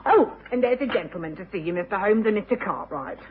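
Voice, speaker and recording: A woman's voice: mid-range voice, expressive, fast, close to an everyday voice. An actress playing a bustling, motherly, fussy housekeeper with a British accent in a radio drama. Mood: fussing, chatty. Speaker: supporting actress. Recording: noisy, tinny old radio recording.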